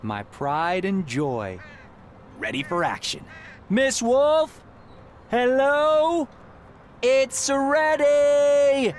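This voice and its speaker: A man's voice: extremely high pitch, expressive, very slow, long pauses, slight background noise, heavily acted. An actor playing an eager, proud young male engineer and rocket inventor in a video game, with a wry, casual manner. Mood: excited, eager. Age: young adult. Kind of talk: video game character dialogue.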